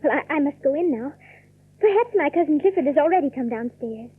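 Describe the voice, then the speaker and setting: A gentle, timid young woman in a radio drama. Her voice is high, and she speaks politely and hesitantly. The recording is dry and very muffled, like old radio. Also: expressive, fast, no room sound. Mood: shy, flustered. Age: young adult. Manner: hesitant, courteous.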